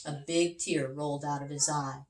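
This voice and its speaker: A woman teacher reading a children's story aloud in an expressive storytelling style. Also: deep voice, normal speed, recorded from a distance. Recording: roomy echo, away from mic.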